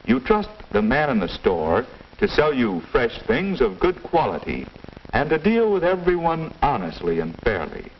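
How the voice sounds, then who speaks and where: A patient American dad, lecturing kindly with lively rises and falls in his voice. He sounds measured and didactic, on a dull old film soundtrack with faint hiss. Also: medium pitch, creaky. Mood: patient, instructive. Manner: measured, didactic, gently questioning. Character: patient, kindly father giving moral advice. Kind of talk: 1950s instructional film acting.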